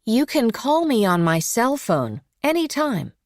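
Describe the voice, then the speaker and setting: A woman voice artist reads example phone messages for learners. She takes her time over each phrase with careful enunciation and expressive intonation, in a very dry studio recording. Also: fairly high pitch, measured pace, no echo. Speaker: English course voice artist.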